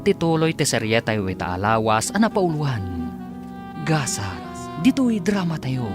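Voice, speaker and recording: Animated Ilocano male radio drama announcer. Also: medium pitch, moderate pace. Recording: announcement over loud guitar music.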